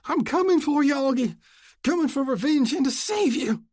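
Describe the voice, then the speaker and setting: A man's voice: very high-pitched, lively intonation, moderate pace, darkish tone, no room sound, studio quality, voice pushed up. A man doing a roaring, grief-mad outlaw. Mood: raging. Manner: roaring, anguished, breaking into whimpers. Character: big desperate male outlaw, grieving and raging.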